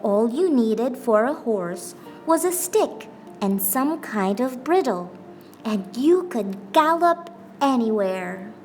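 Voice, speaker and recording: A woman reading a children's picture book aloud as a teacher, with expressive, deliberate phrasing and pauses for emphasis. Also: fairly high pitch. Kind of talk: scripted storybook narration. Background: background music.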